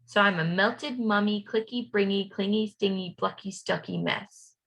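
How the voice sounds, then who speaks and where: A woman reading a children's storybook aloud as a playful young schoolboy narrator, expressive and rhythmic. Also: medium pitch, normal speed, gravelly, slightly rough. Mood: dramatic.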